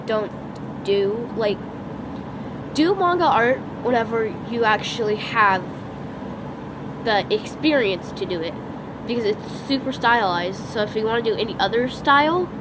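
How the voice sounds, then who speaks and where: A woman's voice: fairly high pitch, animated, measured pace, long pauses. A teenage girl with a stuffy nose and sore throat, rambling unscripted in a casual vlog, filled with "like", filmed outdoors on a phone. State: congested, sniffly, sore throat. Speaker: vlogger. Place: outdoors next to a lake, during a lightning storm. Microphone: phone camera. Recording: outdoor phone recording with background noise. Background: outdoor background noise, thunder.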